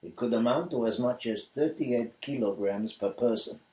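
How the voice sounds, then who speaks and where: A man's voice: medium pitch, moderate pace, darkish tone, recorded from a distance, natural voice. A man voicing an earnest, formal president of a newly independent state in a radio play. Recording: roomy room echo.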